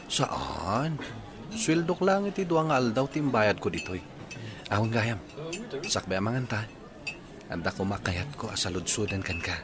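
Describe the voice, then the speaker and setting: An actor with an Ilocano accent playing a tender, devoted young suitor in a radio drama, coaxing and earnest. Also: male voice, lowish voice, expressive, moderate pace, long pauses, lightly acted.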